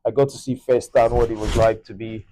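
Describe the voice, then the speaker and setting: A man's voice: medium pitch, level tone, a little fast, warm tone, a little hiss or hum, poor audio. A young man with a Nigerian accent co-hosting a casual podcast, laid-back and joking. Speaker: co-host and nurse.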